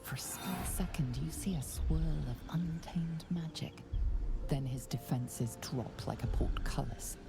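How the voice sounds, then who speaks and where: An actress playing an ominous, measured storyteller, narrating a fantasy game in a breathy, whispered voice. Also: female voice, a bass voice, over music. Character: low, hushed female storyteller, ominous and measured.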